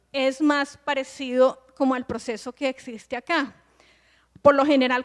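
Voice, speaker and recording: A woman in her forties, a film director and screenwriting teacher, explaining things on a festival panel, thinking aloud and restarting often. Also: high-pitched. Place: large festival hall. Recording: long reverb in a large hall.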